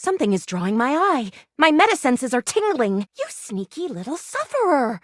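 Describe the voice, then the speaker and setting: A woman's voice: high-pitched, big pitch swings, moderate pace, dry recording, studio quality. A woman playing a perky, eccentric, gleefully morbid lady doctor in a video game, with gushing, old-fashioned, melodramatic phrasing. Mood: coaxing, playful. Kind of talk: animated video game character acting.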